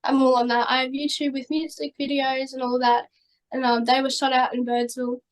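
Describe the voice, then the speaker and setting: A young woman, a singer chatting casually as a guest, talking fast with lots of fillers and a slightly hoarse voice. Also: high-pitched, little pitch movement. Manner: chatty, many fillers, quick bursts. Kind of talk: casual interview chat.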